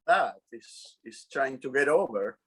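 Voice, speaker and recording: A middle-aged man being interviewed, talking frankly in a halting, self-conscious way. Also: mid-range voice, expressive, crowd noise. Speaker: interview guest. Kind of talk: casual interview chat.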